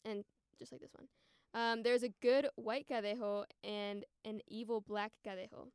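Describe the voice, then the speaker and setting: Podcast guest, female, about 20, American. Crisp, dry close sound, chatty and informal delivery. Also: on the high side, flat delivery, moderate pace, no room sound. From United States. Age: around 20. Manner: informal, frequent "like" and "you know". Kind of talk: casual conversational chat and storytelling.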